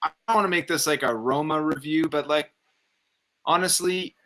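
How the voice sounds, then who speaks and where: A man co-hosting a casual film review, giving his opinions in a halting, conversational way. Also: a little high, pushed and bright.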